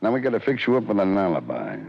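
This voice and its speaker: A man's voice: lowish voice, sing-song, quick pace, gravelly, exaggerated voice. An actor playing a cold, sneering hired killer in a 1940s radio drama, curt and menacing with slangy tough-guy talk. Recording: dull lo-fi old radio broadcast.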